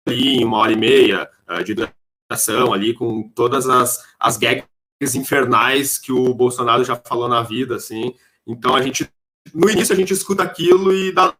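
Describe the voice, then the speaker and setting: A man's voice: medium pitch, sharp tone. A man hosting a podcast in casual conversational style. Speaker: podcast host.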